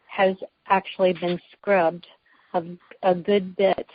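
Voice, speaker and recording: A woman's voice: lowish voice, little pitch movement, slow, no echo. A woman guest on a phone conference call, explaining policy in an emphatic, methodical way. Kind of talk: explanatory political talk. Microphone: telephone. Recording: dull lo-fi phone conference line.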